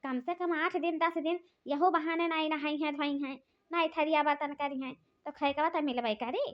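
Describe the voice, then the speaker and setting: A woman's voice: high-pitched, steady tone, normal speed, lightly acted. A woman voicing a quarrelsome, earthy village sister-in-law in a cartoon comedy, scolding and complaining with an Awadhi accent. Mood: grumbling. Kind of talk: rustic cartoon comedy dialogue. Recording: dull lo-fi sound.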